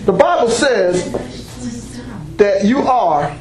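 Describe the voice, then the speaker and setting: A man preaching and teaching the Bible to a congregation without a microphone, speaking loudly and emphatically and breaking in with exclamations of praise. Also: sits high, big pitch swings, slow. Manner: loud and emphatic, with call-and-response exclamations like praise and amen. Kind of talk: sermon-style teaching to a congregation. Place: church meeting room before a congregation. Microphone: unamplified voice picked up by a room mic. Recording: echoey room recording.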